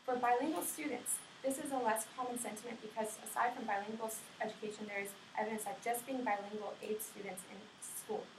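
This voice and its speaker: A woman's voice: fairly high pitch, fairly even intonation, brisk, few pauses. A young woman giving an informative class speech in earnest, often restarting and correcting herself, recorded from a distance in a classroom. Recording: roomy classroom audio from a distance with faint noise.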